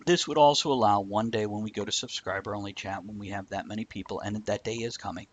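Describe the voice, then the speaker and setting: A man's voice: fairly low pitch, quick pace. Dull-sounding blunt male stream host. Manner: blunt, swearing, joking. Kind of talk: casual rambling stream chat.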